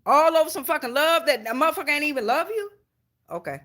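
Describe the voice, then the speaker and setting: A woman with an American accent and a fairly high voice hosts a tarot reading. She speaks informally and bluntly, swearing freely and stressing her points. She keeps checking that the listener is following along. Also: lively intonation, fast talker, a bit bright. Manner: blunt, foul-mouthed, emphatic; checks in with listeners with repeated tag questions. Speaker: host and tarot reader.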